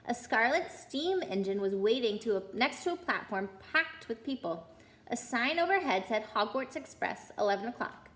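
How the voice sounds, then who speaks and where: Animated, higher-pitched woman reading a storybook. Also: moderate pace. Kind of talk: expressive storybook read-aloud.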